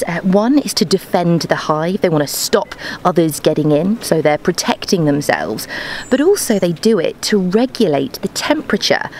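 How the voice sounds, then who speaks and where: A young woman hosting a casual outdoor vlog in a garden, explaining to viewers in a chatty, upbeat way. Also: fairly low pitch. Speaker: YouTube host. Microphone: handheld camera close up through a bee veil. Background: background music.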